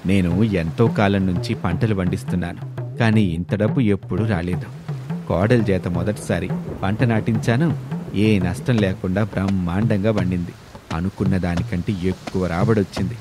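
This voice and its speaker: A man playing an older village farmer, a father, with a gentle, musing manner. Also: sits low, fairly even intonation, moderate pace, music in the background, voice pushed down, natural voice. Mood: pleased, musing.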